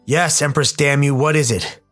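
A man's voice: fairly high pitch, steady tone, normal speed, a bit bright, voice pushed up, lightly acted. A man playing an impatient, wary adventurer in a radio play, curt and challenging. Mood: angry. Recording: music behind the voice.

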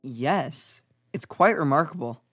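A man's voice: a little high, animated, takes it slowly. A man playing a curious, bookish boy who explains things precisely in a children's audio drama. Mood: calm.

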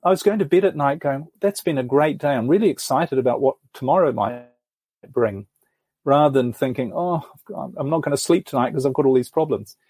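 A middle-aged man tells his story thoughtfully, as if working it out as he speaks. His voice is husky and a little breathy, and it sits mid-range. It is recorded close and dry, with no room sound. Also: mid-range voice, brisk, darkish tone, no room sound. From New Zealand. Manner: earnest, thoughtful storytelling with frequent restarts. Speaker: podcast guest. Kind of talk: reflective life story in an interview.

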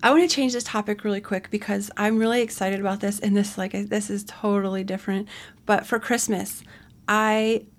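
Woman, 40s, solo podcast host; casual, rambling, mid-pitched everyday voice. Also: steady tone, moderate pace. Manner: rambling, chatty, self-correcting asides.

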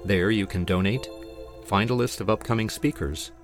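A deep-voiced man reading a scripted welcome to a talk series, measured and even. Music plays clearly underneath him. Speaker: show announcer. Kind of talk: scripted intro read.

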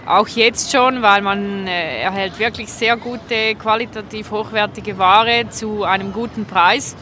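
A woman's voice: medium pitch, fairly even intonation, unhurried, bright, forceful tone. A woman with a Swiss accent giving casual, spontaneous answers in a street interview. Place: outdoors on a city street. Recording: outdoor street noise with traffic.

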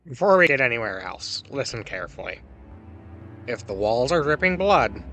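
A man's voice: mid-range voice, lightly acted. A man playing a theatrical, flippant advice host in a scripted comedy monologue, with an arch, wry delivery. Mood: droll, knowing.

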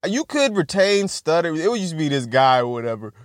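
Young guy w a stutter rambling on his podcast, laughing n cursing, kinda high voice, dead dry sound. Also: big pitch swings, a little fast, no room sound. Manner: laughing, profane, self-mocking. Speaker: podcast host. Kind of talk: casual solo podcast rambling.

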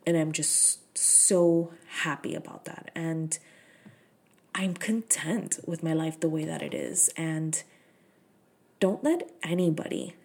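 A woman's voice: low voice, slow pace, gravelly, a little mellow. A woman, hosting her own podcast in frank, profane, filler-heavy casual chat. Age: 30s. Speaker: podcast host.

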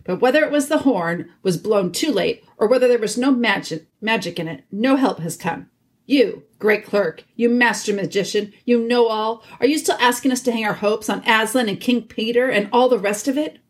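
A woman's voice: on the high side, moderate pace, pitched above the performer's own voice, natural voice. A woman voicing an angry, sarcastic male dwarf who snarls scornfully in a storybook reading. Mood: angry, bitter.

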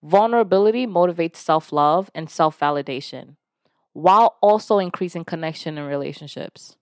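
A woman from Nigeria whose first language is Yoruba talks in a fairly low voice. Her delivery is frank and conversational, and she swears now and then as she hosts a self-help podcast. The sound is close and dry. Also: moderate pace, no room sound. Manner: lively when retelling stories, voicing others. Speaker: podcast host. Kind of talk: candid self-help monologue.

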